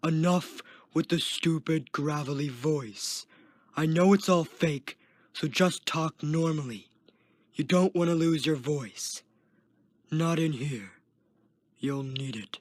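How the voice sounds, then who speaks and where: A man's voice: slow pace, no room sound, clean studio sound. A man reading a story as a smug, uncaring prison guard with a sarcastic, dismissive manner. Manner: sarcastic, dismissive, low growled delivery.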